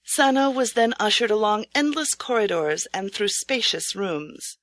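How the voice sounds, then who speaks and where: A woman's voice: medium pitch, expressive, measured pace, fairly bright tone, no echo. Woman narrating an audiobook, dry sound. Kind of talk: scripted storybook narration.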